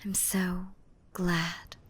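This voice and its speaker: A woman voicing a gentle, nurturing mermaid in a roleplay ASMR audio drama, soothing and doting with a breathy, whispery delivery. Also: fairly low pitch, monotone, drawn-out words, full character voice. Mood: tender, soothing. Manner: soothing, doting, uses pet names. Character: gentle, nurturing mermaid rescuing a drowning human.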